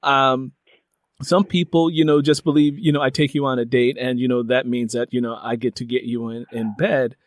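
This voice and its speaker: Male interviewer, middle-aged, American accent. Deliberate, conversational, medium pitch, dry recording. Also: little pitch movement, no echo. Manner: deliberate, rephrases his questions. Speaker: interviewer and host.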